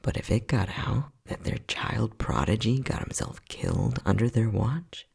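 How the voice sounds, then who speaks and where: Guy doing an unhinged scientist man for asmr rp, super husky near-whisper, swingy pitch, playful and sardonic, kinda cruel. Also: very animated, a little slow, darkish tone, heavily acted. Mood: defiant, sardonic. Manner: flippant, sardonic, mock-soothing, muttering. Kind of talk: ASMR roleplay acting.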